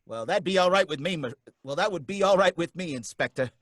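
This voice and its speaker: Twitchy cabbie, high and bright, stammering and pleading, old-time radio play. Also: animated, brisk, dry recording, studio quality. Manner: nervous, pleading, stammering, folksy slang. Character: jittery, put-upon male cab driver. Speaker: cab driver.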